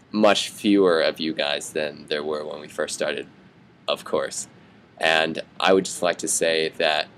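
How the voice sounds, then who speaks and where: A young man, a student, giving earnest, measured advice and a farewell to his classmates. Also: extremely low pitch, fairly bright tone, a little hiss or hum. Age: young adult. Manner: earnest, measured, slightly halting.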